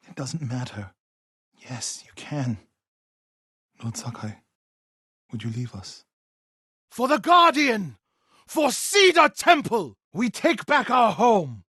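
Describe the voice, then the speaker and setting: Husky, solemn warrior monk. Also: male voice, mid-range voice, bright, forceful tone, no echo, clean studio sound, exaggerated voice. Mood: solemn, earnest, then fierce battle cry. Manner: solemn, intense, formal. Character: grieving, vengeful warrior monk in feudal Japan, solemn man. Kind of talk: dramatic video game voice acting.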